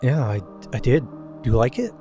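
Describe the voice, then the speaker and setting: A man's voice: medium pitch, very animated, normal speed, dark tone, faint music behind. A man voicing a drunk, guarded village friend with a stammering, loose delivery in a told story. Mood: probing. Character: drunk village friend, wary male companion with rifle.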